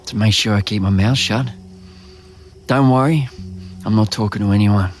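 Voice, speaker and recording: An actor with an Australian accent playing a resentful, easily riled younger brother, curt and defensive, in a naturalistic audio drama. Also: male voice, fairly low pitch, normal speed, faint music behind, lightly acted. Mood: bitter.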